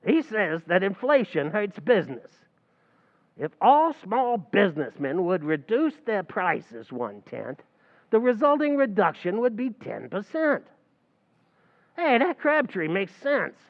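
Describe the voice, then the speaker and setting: A man doing a scheming tavern manager for a radio comedy, with a gravelly, high voice that swoops up and down. He talks with streetwise, mangled grammar. The sound is muffled and rings out in a long hall. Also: big pitch swings, a little slow, exaggerated voice. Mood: wheedling. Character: scheming, fast-talking male tavern manager. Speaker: stage actor in a radio play recreation. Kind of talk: old-time radio comedy acting.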